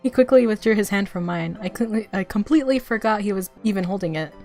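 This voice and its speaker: A woman streamer reading a visual novel aloud with expressive story narration and casual asides. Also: mid-range voice, fast, faint music behind.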